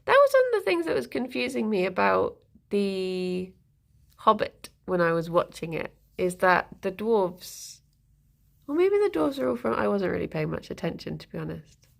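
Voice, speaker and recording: A woman's voice: mid-range voice, big pitch swings, moderate pace, gravelly. A woman streaming live, chatting casually with her viewers and answering their messages in a rambling, giggly way. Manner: chatty and rambling, laughs often. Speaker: streamer reading chat.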